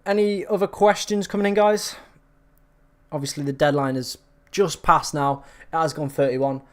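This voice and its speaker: A man hosting a live stream, chatting casually and reacting to viewer comments, his voice slightly hoarse from hours of talking. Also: a little high, fairly even intonation, normal speed.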